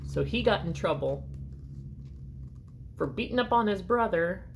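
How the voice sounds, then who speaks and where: A woman reading a children's storybook aloud with expressive emphasis and short asides to her listeners. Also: medium pitch, a little slow, gravelly, slight background noise. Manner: expressive, dramatic emphasis on key words.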